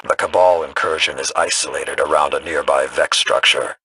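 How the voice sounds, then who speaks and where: A solemn game warrior who speaks in formal, measured phrases. His voice is extremely low and husky, with a firm, forceful edge. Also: fairly even intonation, exaggerated voice, low-quality recording. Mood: grave. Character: solemn, deep-voiced warrior mentor rallying a champion. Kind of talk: scripted video game mission dialogue.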